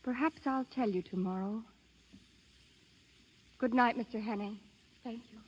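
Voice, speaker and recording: A woman's voice: a little high, unhurried, breathy edge. An actress playing a frightened, secretive young woman spy in a 1930s radio drama, speaking with dramatic urgency. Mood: guarded, anxious. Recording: dull lo-fi 1930s radio broadcast.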